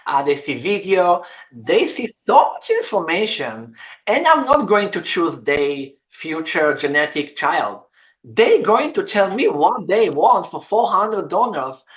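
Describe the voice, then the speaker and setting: A woman running an egg donation agency, speaking in halting, non-native English on a webinar. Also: fairly low pitch, very animated. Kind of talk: webinar talk.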